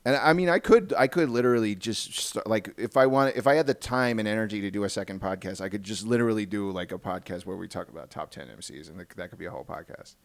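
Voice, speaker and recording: A man's voice: fairly low pitch, fast, few pauses. A man hosting a casual podcast chat, talking informally and swearing casually. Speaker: podcast host and video producer.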